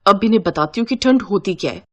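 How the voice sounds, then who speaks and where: A woman's voice: medium pitch, lively intonation, fast, a little mellow, close to an everyday voice. A young daughter-in-law in a moral-story cartoon, played by a woman. She is fed up and scheming. She speaks in a taunting, sarcastic way to her in-laws.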